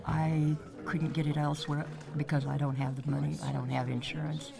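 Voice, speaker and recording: A woman patient giving halting interview answers. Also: sits low, little pitch movement, creaky. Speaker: clinic patient. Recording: busy crowded waiting room chatter.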